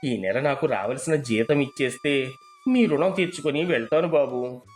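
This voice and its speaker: A man's voice: mid-range voice, moderate pace, soft background music, natural voice. A man playing a humble, loyal poor garden worker in a moral story, speaking plainly and with deference. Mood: humble, pleading. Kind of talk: dramatised moral story dialogue.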